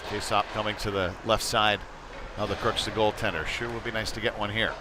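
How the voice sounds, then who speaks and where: A man's voice: lowish voice, flat delivery, a little fast. A man doing live play-by-play hockey commentary for a local stream, speaking in clipped running phrases as he follows the play. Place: indoor ice rink during a game. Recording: noisy live rink broadcast. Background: loud ambient arena noise.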